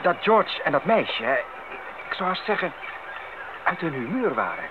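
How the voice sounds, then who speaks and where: An actor playing an alert, excitable expedition member in an old radio drama, on a muffled, noisy recording. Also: male voice, fairly high pitch, normal speed. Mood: hesitant.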